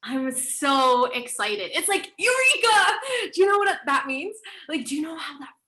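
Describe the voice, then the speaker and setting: A woman playing an excitable flustered author. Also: high voice, measured pace, full character voice. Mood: excited. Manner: breathless and eager, chatty.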